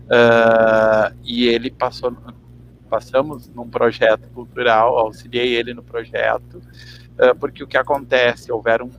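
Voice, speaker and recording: Brief: man, mid-range voice, halting interview delivery, light hum and noise from an online call. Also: mid-range voice, fairly bright tone. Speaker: theatre workshop teacher and arts project producer. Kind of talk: interview answer on an online panel.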